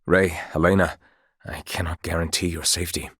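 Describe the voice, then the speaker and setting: A man's voice: low voice, little pitch movement, a little fast, fairly bright tone, breathy edge, dry recording, clean studio sound, heavily acted. A man playing a composed, proud knight commander of royal blood in a video game, speaking in formal, archaic, courtly phrases. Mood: calm, formal.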